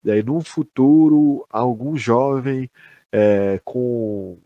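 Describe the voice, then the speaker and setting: Young Brazilian male podcaster, mid-pitched, recorded dry, slangy and sweary, repetitive casual delivery. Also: very slow, no echo, dull audio. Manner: slangy, sweary, repeats himself. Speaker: podcast co-host. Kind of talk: casual chat.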